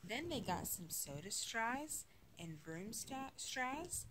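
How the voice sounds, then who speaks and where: A woman reading a children's storybook aloud as a mother to a child, slowly and expressively, acting out the story. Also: a little high. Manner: slow, expressive, acting out the dialogue. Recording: noisy home recording with clicks.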